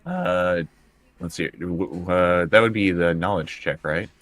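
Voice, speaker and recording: A man's voice: low voice, a little slow, fairly bright tone, no room sound. A man playing a tabletop role-playing game, chatting casually and often stammering as he searches for words. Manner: hesitant, stammering over words. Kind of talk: casual improvised game chat.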